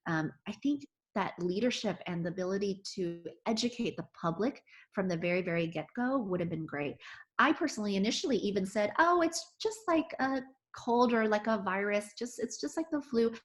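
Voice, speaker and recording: A woman's voice: mid-range voice, expressive, brisk. A woman physician giving an oral history interview, talking earnestly with many restarts and fillers. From United States.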